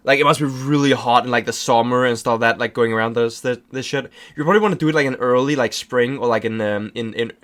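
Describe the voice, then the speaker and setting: A young man with a Danish accent, talking in a loose, rambling stream as he reacts to a video. He swears a lot, circles back over his own words and keeps his pitch in an ordinary middle range. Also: quick pace, few pauses, fairly bright tone. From Denmark. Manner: rambling, repeats himself, swears often. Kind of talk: casual reaction commentary.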